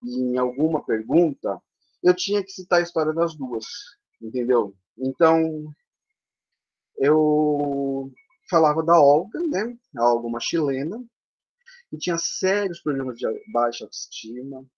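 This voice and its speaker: A man's voice: fairly high pitch, lively intonation, unhurried. Chatty Brazilian man on a muffled call. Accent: Brazilian Portuguese. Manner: chatty, peppered with tag questions. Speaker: theatre teacher for older adults. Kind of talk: conference presentation over slides. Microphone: video call. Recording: dull lo-fi online call audio.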